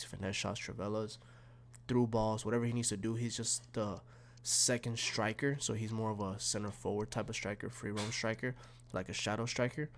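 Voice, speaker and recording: A gaming YouTuber man with a low voice, a little raspy and snuffly from being ill. He rambles through his game tactics in an easygoing way, full of fillers and restarts, with a faint hum behind him. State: sick, slightly raspy and snuffly. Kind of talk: casual tactics walkthrough.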